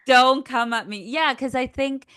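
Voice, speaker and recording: A woman's voice: sits high, unhurried, fairly bright tone, clear, resonant tone, dry recording, studio quality. A woman from Peru with a Peruvian Spanish accent, telling personal stories in a podcast conversation and acting out little quotes as she goes. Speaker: podcast guest, career coach.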